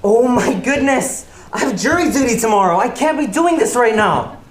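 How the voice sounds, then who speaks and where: A woman's voice: on the high side, animated, moderate pace, lightly acted. A woman in a comic stage monologue playing a flustered, overdramatic youngster in exclamatory panic. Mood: frantic, despairing. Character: frantic, overdramatic youngster overwhelmed by everyday mishaps. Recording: echoey stage recording with loud noise.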